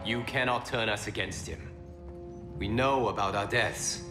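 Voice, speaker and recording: An actor playing a stern, steady male monk warrior who speaks calmly and with command. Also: fairly low pitch, measured pace, over music, natural voice. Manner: calm, commanding. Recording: roomy game cutscene, loud score.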